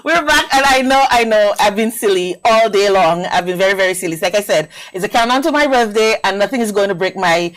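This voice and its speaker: A woman's voice: medium pitch, quick pace, few pauses, rough recording. A woman hosting a TV talk show, bubbly and giggly.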